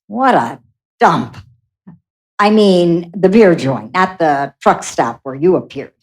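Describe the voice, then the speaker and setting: A woman's voice: on the low side, animated, slow pace, darkish tone. A brash mother with swooping delivery. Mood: incredulous. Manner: exclamatory, irreverent, emotional. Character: brash, salty dead mother, bewildered in the afterlife. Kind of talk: radio play acting.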